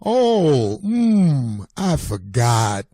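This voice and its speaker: A man's voice: medium pitch, very animated, drawn-out words, warm tone, dry recording, clean studio sound, exaggerated voice. A man voicing a forgetful, apologetic young boy in a storybook, polite and curious in short replies. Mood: sheepish.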